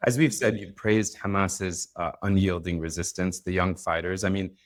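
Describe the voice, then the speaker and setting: A man hosting an interview podcast, asking questions in a measured way with some hesitation. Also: low voice, steady tone. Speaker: podcast host.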